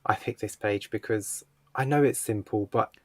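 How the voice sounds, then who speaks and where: A man's voice: on the low side, moderate pace, a little mellow, dry recording. A man co-hosting a podcast, chatting casually in a book club discussion. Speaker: podcast co-host.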